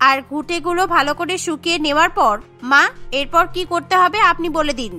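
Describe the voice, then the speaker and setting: A woman's voice: extremely high pitch, fairly even intonation, a little fast, pushed and bright, faint music behind. A woman voicing a haughty, scheming elder sister-in-law in a cartoon, bossy and taunting. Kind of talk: cartoon voice acting.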